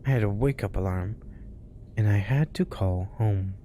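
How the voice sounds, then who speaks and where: A man hosting a spooky story show, reading tales aloud in a hushed voice that often drops to a whisper. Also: lowish voice, normal speed, slight background noise. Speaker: storyteller host.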